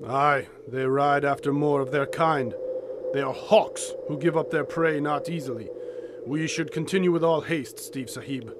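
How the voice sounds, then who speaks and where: Man acting a devout Afghan warrior, high-pitched over noisy ambience. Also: fairly even intonation, moderate pace, lightly acted. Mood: grim, urgent. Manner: formal, archaic, florid phrasing. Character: devout, fierce Afghan fighter, loyal sidekick to an American adventurer. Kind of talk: audio drama acting.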